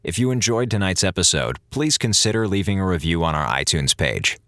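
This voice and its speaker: A man delivers scripted credits as a show announcer. His voice is low and deep, and the recording is dry, with no room sound around it. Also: expressive, a little fast, a bit bright, dry recording. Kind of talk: scripted narration.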